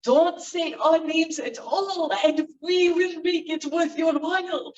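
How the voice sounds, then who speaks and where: A nervous thieving manservant who begs and frets, as a woman storyteller voices him. His voice sits high and anxious. The recording sounds distant and muffled in a roomy space. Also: slow pace. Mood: pleading. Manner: pleading, anxious. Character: frightened thieving manservant, pleading.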